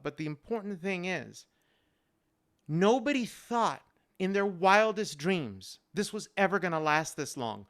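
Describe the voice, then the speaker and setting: A man's voice: sits high, fairly bright tone, clean tone. A man speaking as a panel guest on a live stream, telling personal stories earnestly and repeating phrases for emphasis. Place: remote live-stream video call.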